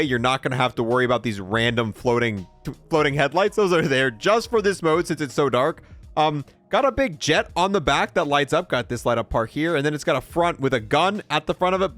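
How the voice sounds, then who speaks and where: A man's voice: a little high, a bit bright, no room sound. A young adult man giving casual, slangy commentary as he reviews video game content. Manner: informal, slangy. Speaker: gaming video creator.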